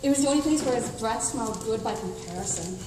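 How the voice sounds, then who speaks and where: A woman's voice: on the high side, animated, fast talker, mellow, natural voice. A woman playing a wisecracking, protective sidekick in a comedic radio play, sarcastic and quipping. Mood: wry. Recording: echoey hall, far from mic, faint noise.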